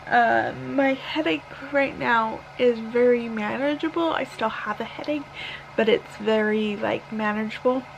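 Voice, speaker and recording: A woman in her thirties giving a casual, rambling vlog update, halting and correcting herself, sounding tired and a little hoarse. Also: a little high, muffled recording, a little hiss or hum. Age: 30s. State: slightly hoarse, tired, sore throat. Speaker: book vlogger.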